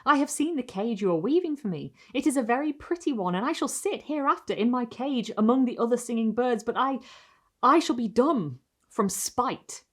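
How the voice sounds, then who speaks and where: A woman reading gothic literary prose aloud as dramatic narration, with expressive, deliberate phrasing and pauses. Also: a little high. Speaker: reader of literary short stories.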